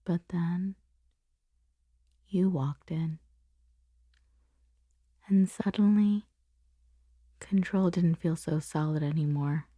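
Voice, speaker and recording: A woman playing a controlled, powerful boss torn by forbidden attraction, in an intimate ASMR roleplay, speaking softly and deliberately with lingering pauses, often dropping to a whisper. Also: low voice, no room sound, studio quality. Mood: intimate, tense. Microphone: close, dry microphone.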